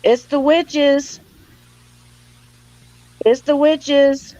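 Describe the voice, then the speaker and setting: Slow-paced woman, high voice. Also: fairly even intonation, dark tone, dry recording, dull audio. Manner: chatty, welcoming, folksy, swears casually. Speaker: livestream host. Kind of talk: casual livestream chat.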